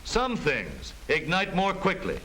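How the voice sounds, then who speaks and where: A man's voice: fairly high pitch, wildly swooping pitch, slow, pushed and bright. An American man reading scripted narration for a 1930s educational film in a formal, emphatic, explanatory manner. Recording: noisy vintage 1930s film soundtrack.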